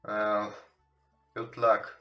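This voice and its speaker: A man who is not a native English speaker, reading a visual novel aloud with casual commentary in a somewhat halting way. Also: fairly low pitch, monotone, pushed and bright. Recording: roomy, echoing home recording. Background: game music.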